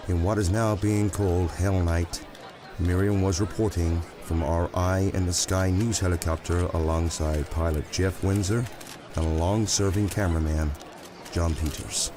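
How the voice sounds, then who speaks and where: A man's voice: low voice, fairly even intonation, a little slow. An actor playing a solemn male TV anchor giving a scripted live report on location in an audio drama. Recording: clear outdoor city noise behind.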